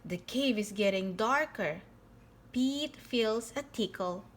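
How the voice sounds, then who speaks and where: High, sing-song woman reading a storybook. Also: lively intonation, slow pace. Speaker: children's storybook reader. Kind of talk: expressive read-aloud narration for young children.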